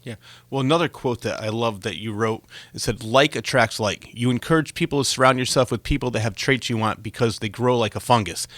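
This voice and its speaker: A man's voice: mid-range voice, a bit bright, dry recording. A man hosting a conversational interview, speaking to his guest in an encouraging, complimentary way. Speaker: talk show host interviewing a guest.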